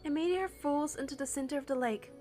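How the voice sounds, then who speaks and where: High-pitched woman narrating a dramatic story. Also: brisk, dark tone, faint music behind. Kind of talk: dramatic scripted first-person story narration.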